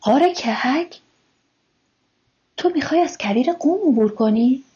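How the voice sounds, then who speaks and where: A woman's voice: high-pitched, animated, dark tone. A woman playing a caring, concerned mother in a children's radio play, speaking earnestly. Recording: dull, muffled recording.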